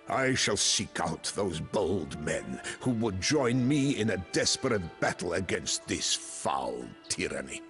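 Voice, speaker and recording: An actor playing a grim, proud medieval Bohemian mercenary commander, narrating his story solemnly and deliberately for a video game. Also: on the low side, a bit bright, full character voice. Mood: resolute. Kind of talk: dramatic scripted first-person narration. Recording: clean voice-over with music behind.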